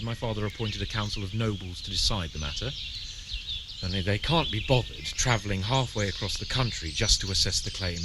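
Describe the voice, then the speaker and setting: An actor playing a haughty, teasing young medieval lord in a video game cutscene, with a lordly, animated way of talking. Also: male voice, lowish voice, very noisy. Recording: loud outdoor ambience with birdsong.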